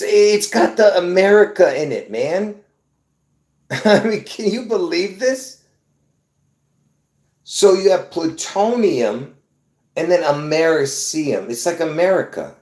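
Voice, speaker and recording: A man from Lebanon hosting his own video, talking excitedly to viewers in a rambling, repetitive way with bursts of laughter. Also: fairly high pitch, roomy sound. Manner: excitable, repeats himself, laughs between points. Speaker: video host. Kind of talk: rambling casual monologue to viewers.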